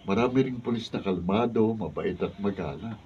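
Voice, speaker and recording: Male actor as steady candid policeman, Filipino accent, radio drama, muffled, noisy recording. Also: fairly low pitch, normal speed, natural voice. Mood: calm, candid. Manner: calm, candid. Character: level-headed policeman, concerned friend of a hothead. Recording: muffled, distant, noisy studio capture.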